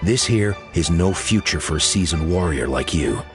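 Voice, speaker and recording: A gruff, fair-minded male boss in a fantasy game. His voice is deep, with lively rises and falls, and music plays clearly behind him. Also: normal speed. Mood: persuasive. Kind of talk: video game voice acting.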